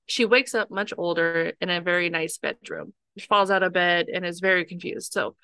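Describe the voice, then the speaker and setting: Bright-voiced woman recapping a film. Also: fairly low pitch, fairly even intonation, normal speed, clear, resonant tone, no echo. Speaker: podcast co-host. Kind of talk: casual chat recapping a film.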